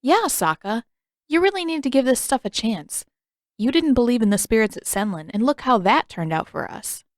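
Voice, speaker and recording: A woman's voice: on the high side, very animated, normal speed, no echo, clean studio sound, voice pushed up. A woman voicing a young boy who is innocent, cheerful and earnestly helpful.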